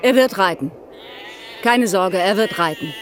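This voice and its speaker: An actress playing a firm, insistent shepherd's wife in a radio drama, urging in short phrases. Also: female voice, medium pitch, lively intonation, measured pace, close to an everyday voice. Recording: outdoor farm noise, bleating sheep.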